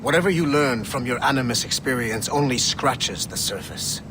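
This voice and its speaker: A man's voice: expressive, normal speed, lightly acted. An actor playing an imposing, cryptic male secret-order executive in a video game, speaking formally and portentously. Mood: cool menace. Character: imposing, cryptic male corporate Templar leader, coolly menacing. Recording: clear low rumbling background noise.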